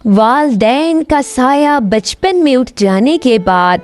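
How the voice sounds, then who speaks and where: High-pitched, lively woman storyteller. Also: a little hiss or hum. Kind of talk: scripted fairy tale narration.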